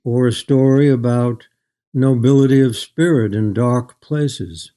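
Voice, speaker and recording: Older man, mellow tone, middling pitch, measured delivery, articulate, reflective author interview. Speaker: guest author.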